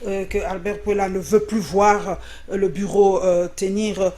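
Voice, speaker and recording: A woman's voice: lowish voice, a little mellow. A woman presenting a television talk program, hesitant with frequent fillers.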